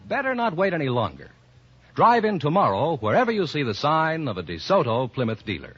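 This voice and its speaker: A man's voice: mid-range voice, husky. An American man announcing and reading sponsor messages in polished, salesmanlike 1940s radio style. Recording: muffled vintage radio broadcast recording.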